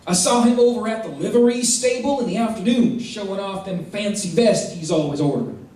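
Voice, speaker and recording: A man's voice: high-pitched, animated, a little fast, darkish tone. A man playing an eager, folksy, countrified deputy in an old-time western radio drama. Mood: earnest wondering. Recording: distant, very echoey stage-like sound.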